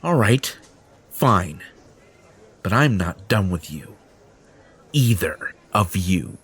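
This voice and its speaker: A man's voice: deep voice, sing-song, slow, fairly bright tone, breathy edge, exaggerated voice. A man playing an arrogant, possessive superhero in an audio drama, threatening, smug and domineering. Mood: seething. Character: arrogant, possessive male superhero turned menacing bully. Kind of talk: audio roleplay drama acting.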